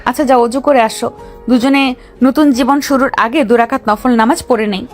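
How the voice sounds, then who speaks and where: A young bride, played by a woman, loving yet feisty, in an audio romance. Her voice sits very high, over soft background music. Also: steady tone, normal speed, close to an everyday voice. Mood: firm. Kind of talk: audio love story acting.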